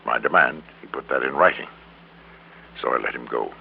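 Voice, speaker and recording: A middle-aged schemer at the end of his rope in a vintage radio drama. His very deep, creaky voice halts and repeats itself with resignation. The sound is crackly and narrow, like an old broadcast. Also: full character voice. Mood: rueful confession. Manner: halting, resigned, repeats words for emphasis. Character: defeated, greedy middle-aged businessman confessing to murder.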